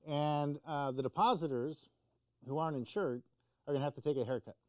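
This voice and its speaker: Mellow, higher-pitched male commentator, dry sound. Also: brisk, no room sound. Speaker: news commentator on a talk show. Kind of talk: conversational explanation of news.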